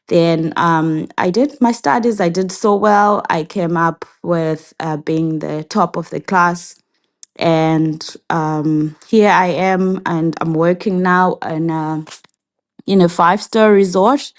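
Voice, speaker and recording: Woman, 30s, from Zimbabwe; low, slow, reflective interview guest. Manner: reflective, many filler pauses and restarts. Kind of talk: casual interview answers.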